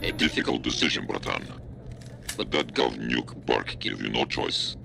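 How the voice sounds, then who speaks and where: A man playing a cool, calculating hacker informant in a video game, speaking bluntly and cryptically with a deep, gravelly voice. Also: lively intonation, moderate pace, noisy background, full character voice. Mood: grave, knowing. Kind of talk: video game dramatic acting. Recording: music behind the voice.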